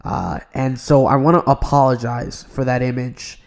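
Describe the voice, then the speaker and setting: A man's voice: mid-range voice, little pitch movement, moderate pace. A young adult man co-hosting a podcast in casual chat, earnest and rambling with many restarts. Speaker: podcast co-host.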